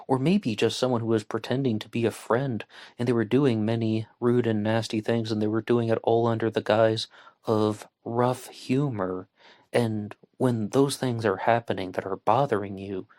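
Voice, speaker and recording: Male true crime podcast host, lowish voice, earnest, measured, talks through the case with small pauses. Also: a little mellow. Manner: earnest, measured, with frequent fillers.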